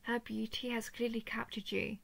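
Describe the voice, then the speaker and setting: A woman's voice: on the high side, little pitch movement, vocal fry, slightly rough, heavily acted. A woman voicing an affectionate, playful and easily flustered female dragon in an intimate audio roleplay. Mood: teasing, curious. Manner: tender, teasing, halting when flustered.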